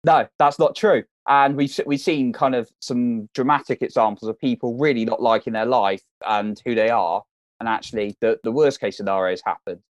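A man's voice: mid-range voice, normal speed, no room sound. Earnest encouraging British-raised man. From United Kingdom. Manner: earnest, encouraging, repeats words while thinking. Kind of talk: casual interview advice.

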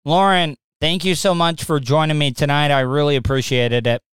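A man's voice: fairly high pitch, steady tone, normal speed, fairly bright tone, smooth, no room sound. A man hosting a livestream in casual chat, often stammering and repeating words. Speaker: livestream host.

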